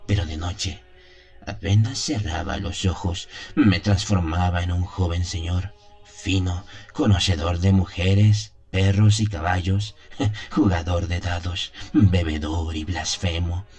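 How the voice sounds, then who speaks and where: A man playing a haunted, wistful seventy-year-old country priest, confessing his past in a deliberate, pause-filled radio drama monologue. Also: low voice, darkish tone, faint music behind. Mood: confessional, grave. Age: 70s as played. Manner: chuckles, sighs, deliberate storytelling with pauses.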